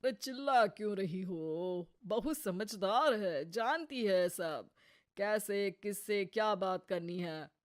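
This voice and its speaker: A woman voicing a calm, mild old father-in-law with a placid, reassuring manner. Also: medium pitch, slow pace. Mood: calm reproof.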